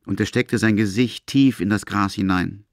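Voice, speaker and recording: A man's voice: fairly low pitch, moderate pace, no echo, clean studio sound. A man narrating a literary audio drama from a script.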